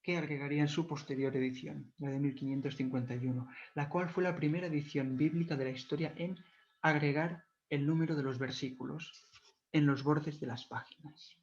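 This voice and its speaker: Devout Catalan-native man lecturing slowly. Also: a little high, steady tone, darkish tone, a little hiss or hum. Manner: measured, devout, corrects himself often. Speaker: video lecturer. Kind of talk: explanatory talk on church history. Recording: dull lo-fi recording.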